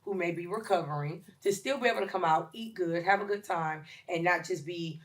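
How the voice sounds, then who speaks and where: A woman in her late forties, a chef and caterer talking as a guest in a casual podcast interview, emphatic and lively, with many ums. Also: fairly low pitch, fairly quick, a loud crowd. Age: 40s. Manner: lively, emphatic, with shout-outs and filler ums.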